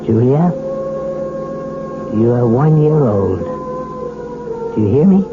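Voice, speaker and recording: An actor playing a calm, authoritative hypnotist doctor, measured and reassuring, in a 1970s radio drama. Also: male voice, mid-range voice, music in the background. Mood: commanding, hypnotic. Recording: tinny, faintly noisy old radio broadcast.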